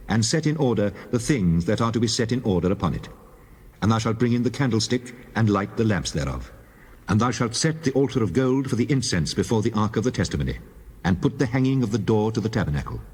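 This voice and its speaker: An actor voicing God, a deep divine male voice giving solemn, measured commands in a dramatized scripture reading. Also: darkish tone, slightly rough. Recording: long hall reverb.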